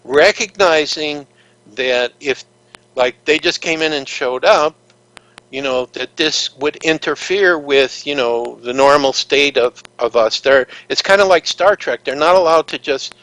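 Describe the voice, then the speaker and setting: A man's voice: a little high, measured pace, a bit bright, no echo, rough recording. A man being interviewed, telling long stories in a rambling, earnest way with many fillers and restarts. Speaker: interview guest, author. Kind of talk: casual interview storytelling.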